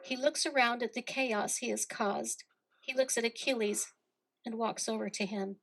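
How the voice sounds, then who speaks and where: Higher-pitched woman narrating a play. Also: fairly even intonation, normal speed, creaky, a bit bright, poor audio. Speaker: narrator reading stage directions. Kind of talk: scripted narration.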